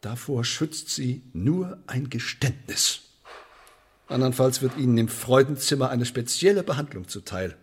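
An actor playing a menacing, sardonic Elizabethan English sheriff in a German radio drama, officious and mock-polite, with deliberate emphasis. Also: male voice, medium pitch, expressive, husky. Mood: mock-polite menace.

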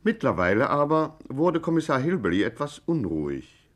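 Deadpan narrator, mid-range voice, composed, old radio crime satire. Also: male voice, mid-range voice, moderate pace. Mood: composed, ironic. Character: deadpan story narrator, mock-official and composed. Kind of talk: scripted radio play narration.

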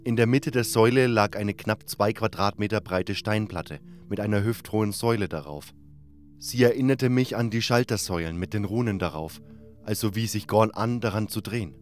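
A man's voice: lowish voice, normal speed, faint music behind. A man narrating a scripted fantasy adventure in the first person, as a dramatic storyteller.

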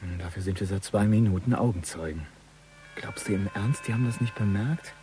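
A man's voice: lowish voice, brisk, mellow, faint music behind, close to an everyday voice. A man playing a wary, sceptical criminal accomplice in a radio crime drama, uneasy and sardonic.

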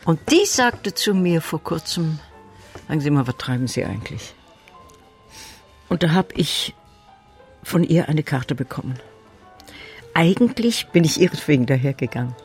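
A woman's voice: deep voice, very animated, moderate pace, long pauses, darkish tone, faint music behind. An actress playing a spirited, frank widow in her seventies, talking effusively with dramatic emphasis in a naturalistic radio drama. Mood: earnest. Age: 70s.

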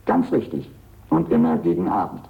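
Robot butler, radio drama: very gravelly, widely swinging intonation, courteous, literal, obedient. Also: male voice, very deep voice, wildly swooping pitch, normal speed, exaggerated voice. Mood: polite, matter-of-fact. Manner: formal, polite, literal and naive. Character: dutiful, literal-minded old robot servant, loyally awaiting master. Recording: dull, muffled radio drama recording.

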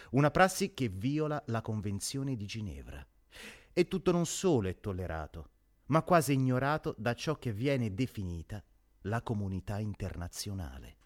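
An actor delivering a solemn radio theatre monologue as a grave, indignant moral orator. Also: male voice, on the low side, natural voice.

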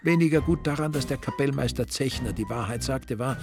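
A man's voice: medium pitch, brisk, over music, natural voice. A man with an Austrian accent narrating a radio crime play in a dry, ironic storytelling manner.

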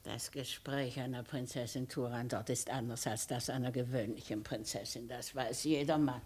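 An actress playing a very old, mild and candid servant in a 1950s radio play, respectful yet gently admonishing. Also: female voice, extremely low pitch, steady tone, normal speed, slightly rough. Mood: mild, resigned.

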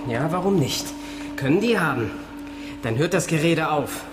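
A man's voice: a little high, animated, normal speed, echoey room, loud music behind the voice, natural voice. An actor playing a breezily optimistic, scheming defence lawyer in a radio drama, a man with a confident, glib manner. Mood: offhand, glib.

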